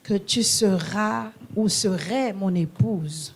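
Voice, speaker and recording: Church interpreter echoing each line. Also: male voice, high-pitched, slow, husky. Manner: echoes each phrase right after the preacher, in French or English. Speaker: sermon interpreter. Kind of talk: live interpreting of a sermon.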